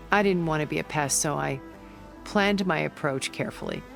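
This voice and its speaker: An actor playing a secretive male schemer in a video game, speaking in a measured, composed way. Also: fairly high pitch, creaky, a bit bright, faint music behind. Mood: reminiscing. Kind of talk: video game cutscene acting.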